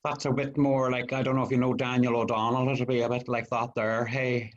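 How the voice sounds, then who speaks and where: A man's voice: mid-range voice, level tone, fairly quick. A man with a Dublin Irish accent, a guest speaker opening his talk on a video call with many ums and restarts. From Ireland. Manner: hesitant, lots of ums and restarts. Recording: dull lo-fi video call audio.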